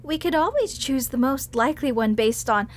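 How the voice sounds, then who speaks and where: A woman voice actor performing a sweet, gentle character in an acted audio roleplay, talking in a bubbly, soothing way. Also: sits high, lively intonation, moderate pace.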